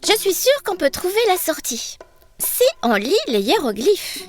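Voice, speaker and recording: A woman voicing a brave, curious little girl in a children's cartoon, eager and expressive as she explains things. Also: very high voice, measured pace, faint music behind, heavily acted. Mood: reassuring, explaining.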